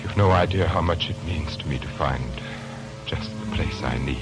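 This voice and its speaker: Casting: brooding, soft-spoken gentleman with a deep voice, polite yet intense, vintage radio thriller. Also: normal speed. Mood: relieved, hushed. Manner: soft, hesitant, courteous, intense. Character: quiet, brooding, secretive gentleman lodger, a self-styled pathologist. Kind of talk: 1940s radio drama acting. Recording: old 1940s radio broadcast, music behind.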